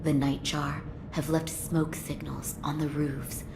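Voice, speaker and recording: A woman playing a solemn, dutiful attendant in a video game cutscene, speaking formally and in hushed, measured phrases. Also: low voice, level tone, background noise. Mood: grave, hushed.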